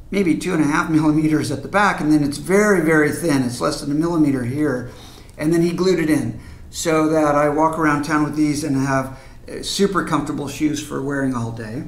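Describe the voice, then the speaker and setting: A man in his fifties presents informally to camera, explaining things in a hands-on way. His voice sits somewhat higher than most men's. It is recorded at a desk in a clearly echoey indoor room. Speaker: video presenter. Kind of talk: casual explainer to camera, demonstrating items. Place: medium-sized home office, indoors at a desk.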